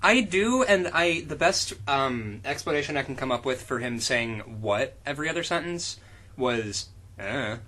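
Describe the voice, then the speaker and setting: Animated, bright, raspy man with sarcastic asides. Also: a little high, moderate pace. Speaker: podcast co-host reading fan fiction aloud. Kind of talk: amused reading with sarcastic riffing.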